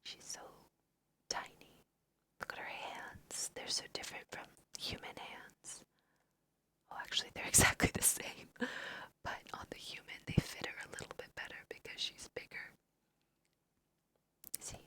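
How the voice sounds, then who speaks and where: A woman speaks entirely in a bright, sharp-edged whisper, with no voiced tone. She takes her time as she gently explains a video game in ASMR style. She has a slight cold, and her delivery stays soft, breathy and unhurried. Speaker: let's play host guiding through a video game.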